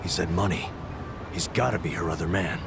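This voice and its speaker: An actor in an English game dub playing a blunt, impatient street tough who talks directly. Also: male voice, low voice, a little fast, creaky, noisy background. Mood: eager, suspicious. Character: blunt, impatient tough guy, low-ranking street yakuza. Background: music.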